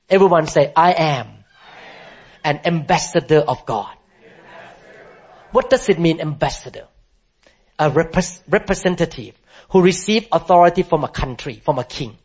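A man with a high voice preaches to a congregation, pressing his points with emphatic, impassioned declarations and calling for responses from the room. The recording is dull and lo-fi, with a muffled top end. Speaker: pastor. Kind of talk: sermon.

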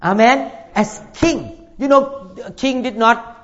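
Man, pastor, sermon, high-pitched, emphatic, repeats himself, muffled lo-fi sound. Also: takes it slowly, a bit bright. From Thailand. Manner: emphatic, repeats phrases, calls for amens. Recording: dull lo-fi recording.

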